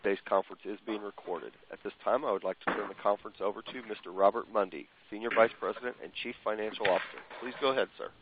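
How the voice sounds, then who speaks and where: A man's voice: on the low side, fairly even intonation, quick pace, few pauses. A man working as a conference call operator, reading a scripted announcement over a telephone line. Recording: tinny, noisy telephone line.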